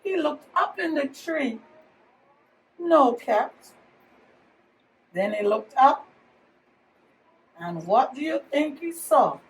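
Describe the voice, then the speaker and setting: A woman's voice: sits high, slow, pauses often. A woman reading a children's picture book aloud as a teacher, telling it expressively for young listeners. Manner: expressive storytelling with questions to children. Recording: distant microphone, faint background noise.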